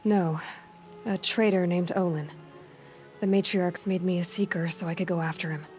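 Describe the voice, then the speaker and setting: A woman's voice: on the low side, steady tone, moderate pace, darkish tone, close to an everyday voice. A woman playing a determined, self-reliant young huntress in a video game, often half-muttering to herself. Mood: resolute. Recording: music behind the voice.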